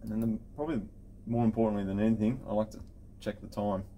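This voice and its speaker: A middle-aged man with a lowish, very mellow voice walks through a technical setup step by step. His delivery is informal and matter-of-fact, like an installer showing the job at his desk. There is some noticeable background noise. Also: steady tone, quick pace. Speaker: intercom installer giving a software setup tutorial. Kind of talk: casual step-by-step screen tutorial. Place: indoor office at a desk with computer.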